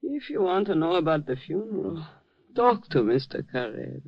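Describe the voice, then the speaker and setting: An actress playing a mournful widow in a 1950s radio drama. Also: female voice, sits low, sing-song, normal speed, gravelly, husky, dry recording, heavily acted. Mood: mournful, wailing. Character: grieving foreign widow, middle-aged or older, theatrically mournful. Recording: muffled old 1950s radio recording.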